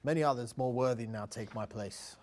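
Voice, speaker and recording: A man's voice: mid-range voice, fairly quick, a little mellow, lightly acted. A man playing a weary, humble father who once led his tribe, at a table read of a fantasy drama. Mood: grave, resigned.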